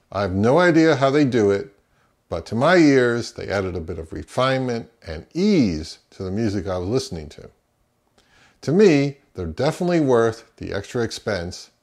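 Middle-aged male audio gear reviewer, lowish pitch, conversational product-review narration for a home channel. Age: 50s to early 60s. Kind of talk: conversational, to camera.

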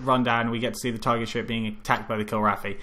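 A man's voice: medium pitch, level tone, rapid-fire, bright, forceful tone. A man co-hosting a film review podcast, recapping in a casual, exasperated, sweary way. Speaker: podcast co-host.

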